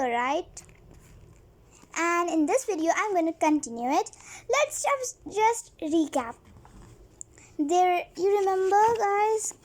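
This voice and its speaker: A young girl hosting a read-along, reading a storybook aloud expressively and chatting to viewers. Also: very high-pitched. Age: child. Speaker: host of a read-along video.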